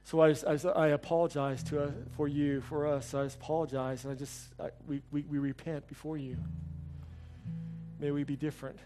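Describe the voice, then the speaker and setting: A man's voice: a little high, level tone, moderate pace, over music. A pastor closing a sermon and leading a prayer in church, earnest, building his lines in repeated phrases. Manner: earnest, repetitive praying cadence.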